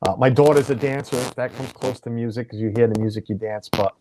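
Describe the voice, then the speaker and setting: A man's voice: mid-range voice, steady tone, fast, background noise. A middle-aged American man hosting a casual video chat, talking in a rambling way and often correcting himself mid-sentence. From United States. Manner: chatty, rambling, self-correcting. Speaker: podcast host.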